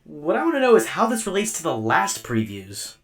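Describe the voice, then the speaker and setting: A man's voice: on the high side, wildly swooping pitch, a little fast. A man voicing an earnest, puzzled, naive robot companion in a radio drama. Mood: wry curiosity.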